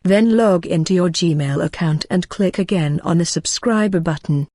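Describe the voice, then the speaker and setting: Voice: mid-range voice, moderate pace, warm tone, no room sound, studio quality. A channel announcer reading a scripted promotional message.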